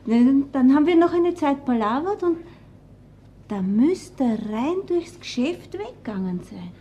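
A flustered Viennese shop worker in a crime radio play. Her voice is very high yet mellow, with an Austrian accent and a defensive manner. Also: lively intonation, measured pace. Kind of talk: naturalistic radio crime drama acting.

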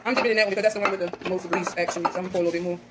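A woman's voice: lowish voice, steady tone, very fast. Young woman talking y'all through a recipe in her kitchen, phone mic, pots and pans clinking, noisy. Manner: chatty, addresses viewers as y'all. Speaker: home cooking vlogger. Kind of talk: casual cooking tutorial. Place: home kitchen, indoors. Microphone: phone camera on the counter.

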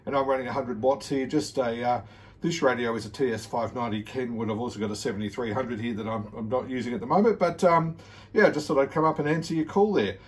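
A man's voice: mid-range voice, quick pace, recorded from a distance. An Australian man chatting casually and encouragingly as an amateur radio operator, recorded indoors on a phone camera. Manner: friendly, encouraging. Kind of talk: casual ham radio chat. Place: indoor room at radio desk.

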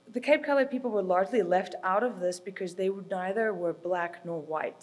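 A woman's voice: lowish voice, darkish tone. A young woman from South Africa whose first language is Afrikaans, earnestly explaining her reporting project at a conference podium with frequent ums and uhs. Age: young adult. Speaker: student reporting fellow presenting her project. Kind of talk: conference presentation. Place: hotel function room with a projection screen. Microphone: podium microphone, heard from a distance.

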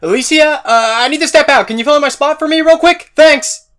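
A man's voice: very high voice, brisk, fairly bright tone. A man playing a nervous, kind-hearted teenage boy in a fan audio drama, stammering as he talks. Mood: hurried.

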